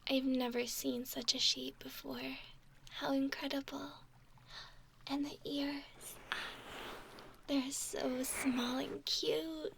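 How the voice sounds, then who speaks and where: A woman playing a gentle, doting young mermaid in a whispered ASMR roleplay, cooing softly and soothingly with a breathy voice. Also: high voice, level tone, slight background noise, heavily acted. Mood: fascinated, adoring. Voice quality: soft, breathy. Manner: slow, soothing, cooing whisper and soft speech.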